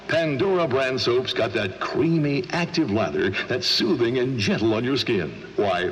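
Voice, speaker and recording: A man announcing on 1940s radio, reading a soap commercial in a smooth, persuasive salesman style. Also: mid-range voice, in a large hall, slight background noise, poor audio.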